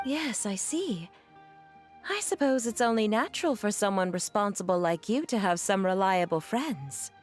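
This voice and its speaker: A woman playing a gracious, genteel mother and employer in a video game, courteous, refined and gentle. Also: mid-range voice, lively intonation, moderate pace, music in the background, natural voice. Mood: gracious, relaxed.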